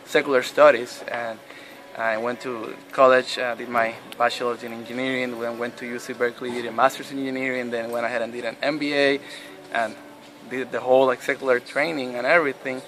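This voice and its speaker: A man in his thirties from Puerto Rico, telling his faith story in an interview with many pauses and restarts. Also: medium pitch, a bit bright, slight background noise, rough recording. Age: 30s. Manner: hesitant, many restarts and fillers. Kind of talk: personal testimony interview.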